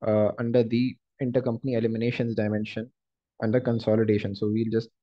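A man working as a software trainer, explaining a screen-share tutorial step by step in a halting, explanatory way. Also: on the low side, completely flat delivery. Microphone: screen-recording voice-over. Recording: dull lo-fi voice recording.

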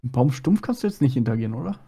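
Husky, mellow man chatting over gameplay. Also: medium pitch, big pitch swings, racing. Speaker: co-commentator on a gaming stream. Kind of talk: casual chat over gameplay.

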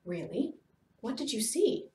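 A woman's voice: on the high side, big pitch swings, measured pace, darkish tone, a little hiss or hum, voice pushed up. A woman voicing a well-bred, polite and eager young boy in an audiobook story. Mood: friendly, curious. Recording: roomy echo, distant from mic.

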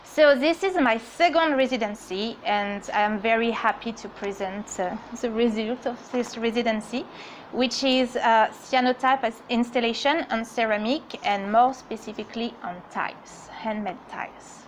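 A woman's voice: a little high, moderate pace, a little hiss or hum, rough recording. A woman in her thirties with a French accent, presenting her art to an audience in a friendly, slightly apologetic way, with nervous little laughs. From France. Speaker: artist giving a talk. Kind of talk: presentation reading from notes. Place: outdoor courtyard on stone steps.

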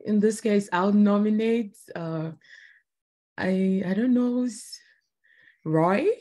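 A woman's voice: mid-range voice, takes it slowly, dark tone. A young woman from Ghana, a graduate student, introducing herself on a video call, cheerful with light laughs and hesitations. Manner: cheerful, giggly, some hesitation.

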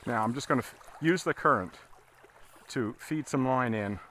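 A man giving a casual, halting fly fishing tutorial as an instructor, talking while he works outdoors. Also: mid-range voice, pushed and bright. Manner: halting, explaining as he casts. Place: outdoors, standing in a river. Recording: outdoor recording with water noise. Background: running water.

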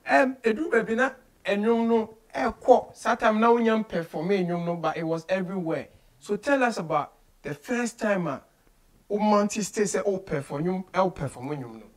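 Male interview host, Ghanaian accent, high-pitched, emphatic and animated, code-switching between English and Twi. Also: moderate pace. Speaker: radio show host. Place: small radio studio office, indoors.

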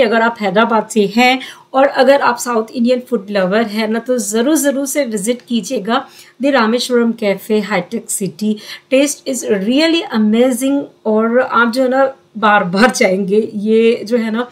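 Chatty woman vlogger, slightly high voice. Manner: chatty, mixes in English phrases, many "jo hai na" fillers. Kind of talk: casual vlog narration. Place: car and busy restaurant. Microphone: phone camera at arm's length.

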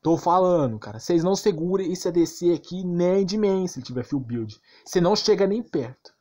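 A man's voice: high voice, expressive, fairly quick, warm tone. A man with a Brazilian accent giving informal game commentary, arguing insistently and repeating himself with plenty of slang. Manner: argumentative, insistent, repetitive, slangy. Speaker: gaming YouTuber.

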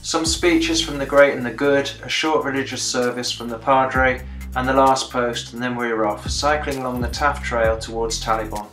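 A man's voice: medium pitch, fairly even intonation, moderate pace, a bit bright, roomy sound, soft background music. A British man in his sixties, a veteran narrating a video diary of a commemorative bike ride in a plain-spoken, dryly understated way. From Britain. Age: 60s. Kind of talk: reflective voice-over narration.

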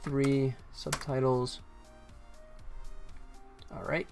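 A man's voice: medium pitch, flat delivery, extremely slow, creaky, darkish tone. Middle-aged man thinking aloud, music behind. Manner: thinking aloud, talking to himself in short fragments. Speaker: game developer livestreaming his work. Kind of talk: casual live coding commentary.

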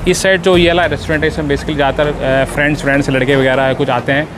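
A man's voice: on the high side, level tone, quick pace, music in the background. A man in his thirties filming a casual walking-tour vlog on a handheld phone, chatting to his viewers and explaining prices as he moves between a parking lot and a restaurant. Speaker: travel vlogger. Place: outdoor parking lot and airplane restaurant interior. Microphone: handheld phone camera, selfie framing.

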